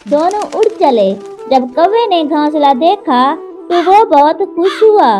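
A woman narrating a children's moral story in a slow storytelling manner, with music behind. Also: very high-pitched, lively intonation, dark tone. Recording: narration over cartoon music.

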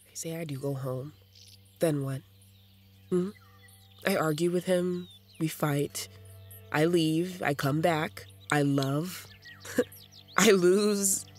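An actress playing a troubled, self-loathing addict in an audio drama, with a raw, halting, conversational delivery, defensive and despairing. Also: female voice, low voice, a little mellow, faint music behind. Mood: weary, despairing. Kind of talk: audio fiction drama acting.

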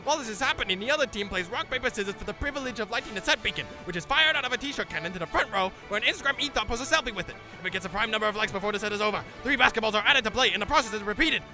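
A man's voice: sits high, animated, racing, hardly any pauses, over music. A man voicing a pompous, haughty cartoon referee who declaims with contempt for children. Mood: brisk, officious. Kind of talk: cartoon parody acting.

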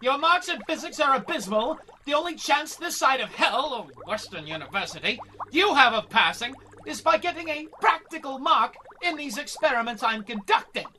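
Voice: sits high, animated, normal speed, hardly any pauses, sharp tone, a little hiss or hum, low-quality recording. A performer playing an irritable, condescending physics professor in a radio comedy drama. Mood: exasperated. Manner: stern, exasperated, condescending. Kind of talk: audio drama acting.

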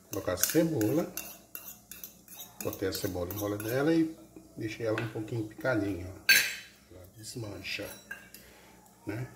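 A man's voice: on the low side, slight background noise. A man cooking in his home kitchen, walking through each step with homely, diminutive-laden talk into a camera above the counter. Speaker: home cook, husband of the channel host. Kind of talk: casual cooking vlog narration. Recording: echoey kitchen with loud clatter of dishes.

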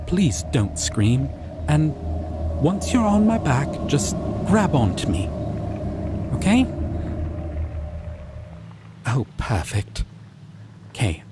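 A man voicing a shy, caring male dragon in an ASMR roleplay, talking softly and reassuringly. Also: mid-range voice, background noise. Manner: soft, reassuring, slow and hesitant. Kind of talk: ASMR roleplay audio drama.

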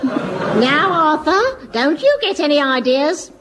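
A woman's voice: sits high, very animated, takes it slowly, in a large hall, a crowd in the background, full character voice. A gushing doting mother. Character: fussing, doting middle-aged mother.

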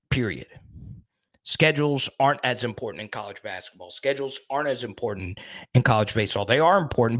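A man in his thirties hosting a casual live stream, talking into a studio mic in a small room, animated and quick to repeat himself while reading out chat comments. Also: medium pitch. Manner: animated, repeats words, reads chat aloud. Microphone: studio mic on boom arm in front.

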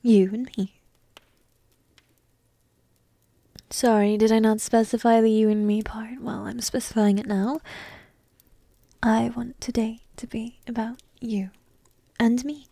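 A woman voicing a petite, playful, secretly affectionate dragon princess in a soft, breathy ASMR roleplay, teasing slowly and often slipping into whispers close to the mic. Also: on the high side, fairly even intonation, no room sound. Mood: earnest, insistent. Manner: slow, teasing, intimate delivery with pauses. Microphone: close microphone in a dry room.

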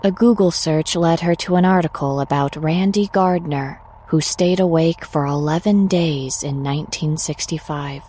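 A woman's voice: low-pitched, moderate pace, hardly any pauses, warm tone, a little hiss or hum. A woman reading a scripted literary horror story as its narrator.

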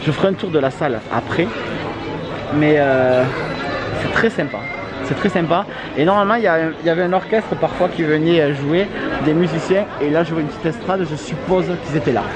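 Male vlogger, thirties, fairly high voice, informal and repetitive, handheld camera in a crowded dining hall. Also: brisk. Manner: repeats himself, tags phrases with "hein". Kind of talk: casual vlog chat. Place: large wooden restaurant hall, indoors. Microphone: handheld camera at arm's length. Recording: busy restaurant crowd noise.

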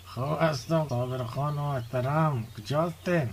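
A man's voice: a little high, slight background noise, natural voice. An actor playing a friendly, gossipy village man in a radio drama, speaking Dari with an Afghan accent. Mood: welcoming, curious.

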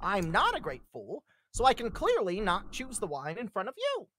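A woman's voice: medium pitch, wildly swooping pitch, moderate pace, fairly bright tone, slight background noise. A woman playing a smug, blustering male schemer in a live script read, theatrical and long-winded. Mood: smug reasoning.

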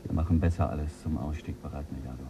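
An actor playing the commanding officer of a spaceship crew, giving urgent orders in a radio drama. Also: male voice, a bass voice, steady tone, fast talker, warm tone, muffled recording, slight background noise. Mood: tense. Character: ship commander Jell, tense and in charge. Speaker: crew leader.

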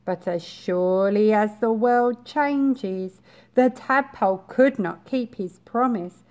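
A young woman reading a picture book to small children, expressive and deliberate, with pauses. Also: medium pitch. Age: young adult. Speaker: reception class teacher. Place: small bright school classroom, indoors.

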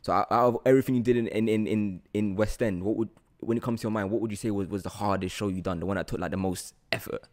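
A man's voice: fairly low pitch, steady tone, fast, darkish tone. A young man with a London accent, chatting casually as the host of an interview podcast, close to the microphone. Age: young adult. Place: small room, indoors. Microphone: podcast microphone on boom arm, close.

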